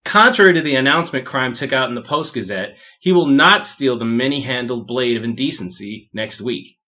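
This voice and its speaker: A man's voice: medium pitch, expressive, brisk, bright, forceful tone, lo-fi sound. A man voicing a blustering, arrogant male police inspector who sounds pompous and scornful, in an audio drama.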